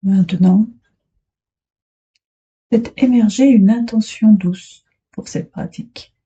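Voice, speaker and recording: Casting: female meditation guide, very dark, soft-edged husky tone, soothing delivery with long pauses, slightly muffled recording. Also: mid-range voice. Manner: soothing, unhurried, short phrases with long pauses. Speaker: yoga nidra instructor. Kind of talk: guided meditation, relaxation instructions.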